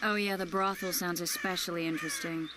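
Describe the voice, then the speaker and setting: An actress as a proud sorceress amid loud noise. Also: female voice, lowish voice, steady tone, a little fast, close to an everyday voice. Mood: haughty, sardonic. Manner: indignant, sardonic. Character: proud, sharp-tongued sorceress, drained but defiant. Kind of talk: video game voice acting.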